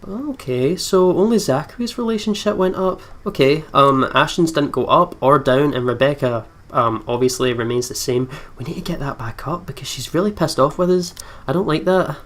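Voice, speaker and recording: A man hosting a gaming video, reading story text aloud and thinking out loud in a chatty way. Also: fairly high pitch, moderate pace, few pauses.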